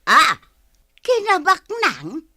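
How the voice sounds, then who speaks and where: A woman's voice: sits high, big pitch swings, a little slow, pushed and bright, no echo, clean studio sound, exaggerated voice. An actress playing a nosy village woman in a radio comedy-drama, exclamatory and inquisitive. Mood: amazed, curious.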